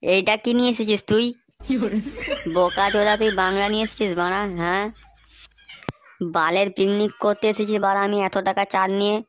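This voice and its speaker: A woman's voice: medium pitch, steady tone, brisk, long pauses, a little hiss or hum, natural voice. A woman voicing a bossy, grumbling young man who swears and scolds his friends in a cartoon. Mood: furious. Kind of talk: Bengali cartoon dubbing.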